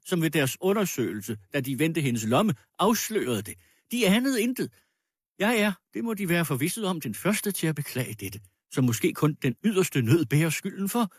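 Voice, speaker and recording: A man voicing a pompous, sanctimonious gentleman in a storybook reading, with formal oratory. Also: fairly high pitch, slightly rough, no echo, clean studio sound, voice pushed up. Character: pompous, sanctimonious gentleman accuser, self-righteous and smooth-talking.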